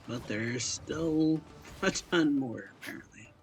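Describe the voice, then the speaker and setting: A man giving casual, low-key let's play commentary, unhurried and muttering as if to himself while he plays. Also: soft background music. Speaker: gaming streamer.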